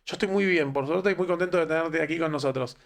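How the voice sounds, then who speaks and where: A man hosting an interview, asking relaxed questions and joking lightly. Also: fairly high pitch, fast talker, a little hiss or hum. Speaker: interview host. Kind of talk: casual interview.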